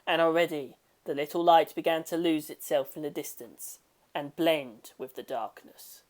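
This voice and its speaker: A woman narrating a dramatic prose story, swelling emphatically at times and drawing phrase endings out into a hush. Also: low-pitched, moderate pace. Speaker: audiobook-style reader of a fan story.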